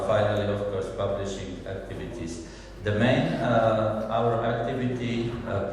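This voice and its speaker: A man from Slovakia gives a conference talk in a low voice, stopping often on filler sounds. He is recorded from afar in a large hall with a long, echoing reverb. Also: darkish tone, a little hiss or hum. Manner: hesitant, many filler sounds. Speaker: conference speaker. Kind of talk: conference presentation. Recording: echoey hall from far off.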